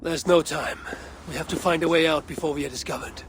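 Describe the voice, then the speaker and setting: A man's voice: fairly high pitch, fairly even intonation, a little fast, slight background noise, lightly acted. A man playing a stoic, skeptical Viking warrior in a video game cutscene, speaking tersely and matter-of-factly. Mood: blunt, urgent. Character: blunt, skeptical Viking warrior of a raven clan.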